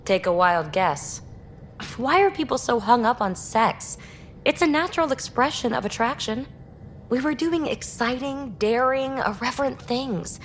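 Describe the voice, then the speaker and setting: A woman acting a brash, candid young rogue explorer in a video game, talking in a snarky, animated and colloquial way. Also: on the high side, unhurried, a little hiss or hum. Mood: playful, defiant.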